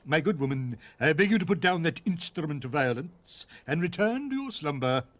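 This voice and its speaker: A man voicing a pompous, long-winded male parson who talks slowly and grandly. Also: on the high side, expressive, voice pushed up. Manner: pompous, wordy, slow and measured.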